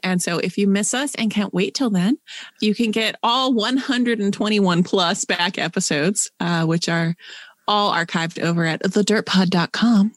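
A woman with a medium-pitched voice co-hosts a casual podcast. Her humor is wry, and the sound is very dry, with no room echo. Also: moderate pace. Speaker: podcast co-host. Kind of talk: casual podcast chat and sign-off.

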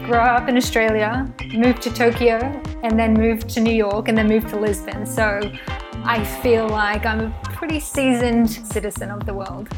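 A woman from New Zealand, a podcast guest who is a strategist and sociologist, speaking in a measured way with a lot of "kind of" hedging. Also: fairly high pitch, flat delivery, over music. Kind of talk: podcast panel discussion.

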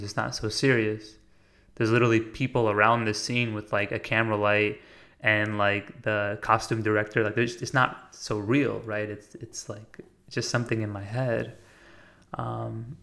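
An American man speaks in a slightly low voice with plenty of vocal fry. His melody stays narrow and even. He reflects out loud in an earnest, casual monologue, halting now and then to find his words. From United States. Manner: informal, halting, searching for words. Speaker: podcast host.

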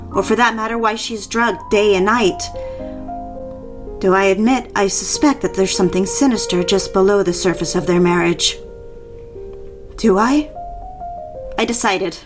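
A woman's voice: medium pitch, lightly acted. A woman playing a lovestruck, compliant married mistress in an audio drama, speaking and narrating in an intimate, confessional way. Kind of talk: dramatic first-person narration and dialogue. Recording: music behind the voice.

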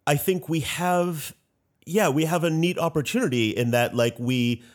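A man's voice: a little high, normal speed. A man moderating a roundtable conversationally, phrasing his points tentatively and carefully. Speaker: panel moderator.

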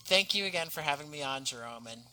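A man speaking as an interview guest, articulate, measured and courteous in conversation. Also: fairly high pitch, expressive, sharp tone, poor audio. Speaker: podcast guest, playwright and writer.

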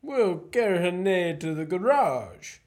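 Man playing a suave villain, slow and swooping. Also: sits high, sing-song. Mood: commanding. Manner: courteous, genteel, theatrically menacing. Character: suave, menacing gentleman professor, a secret spy chief. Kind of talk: student radio play acting.

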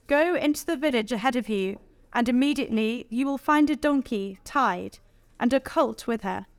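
Female scripture reader, slightly high pitch, formal church-reading delivery, very little room sound. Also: dry recording.

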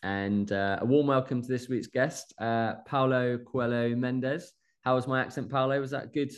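A man's voice: mid-range voice, steady tone, normal speed, clean tone. A man hosting a podcast interview, friendly and jokey, asking long questions full of fillers. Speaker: podcast host.

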